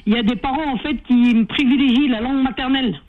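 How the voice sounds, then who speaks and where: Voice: sits high, fast, slight background noise. A caller phoning in to a radio debate, who keeps saying "in fact" while making the point. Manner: says "en fait" constantly. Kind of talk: call-in opinion. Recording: tinny phone line.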